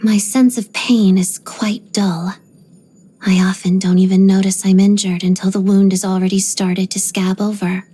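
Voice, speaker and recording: An actress voicing a reserved, stoic young ballerina in a video game, speaking softly and breathily in a measured, restrained way. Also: female voice, mid-range voice, little pitch movement, breathy edge, close to an everyday voice. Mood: stoic, resolute. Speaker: video game character voice actor. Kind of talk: scripted game voice lines, introspective monologue.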